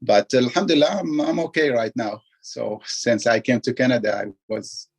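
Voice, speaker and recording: Brief: man, mid-pitched, halting interview testimony. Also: breathy edge. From Iraq. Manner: hesitant, many fillers and restarts. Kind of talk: personal testimony.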